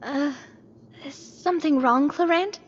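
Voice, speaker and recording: A woman's voice: high-pitched, a little slow. A woman playing a confident, curious young adventurer in an anime video game, articulate and theatrical. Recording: dull game audio with music behind.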